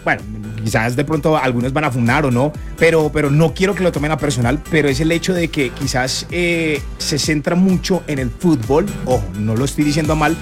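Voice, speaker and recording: High-pitched man, careful panel talker. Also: a little fast. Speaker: talk show panelist and athlete. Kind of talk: casual panel discussion. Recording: clear music under the voice.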